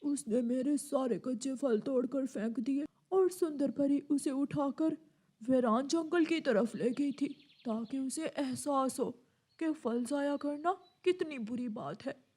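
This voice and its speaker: A woman's voice: high-pitched, takes it slowly, mellow. A woman voicing a wronged, sorrowful banana tree in a cartoon, plaintive and reproachful. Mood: aggrieved. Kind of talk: Hindi animated moral story acting.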